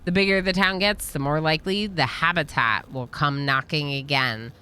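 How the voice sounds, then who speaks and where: A woman's voice: low voice, normal speed, sharp tone, clean tone, pitched below the performer's own voice. A woman reading game dialogue aloud as a weary, brooding colony engineer who grumbles and explains. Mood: weary, grumbling.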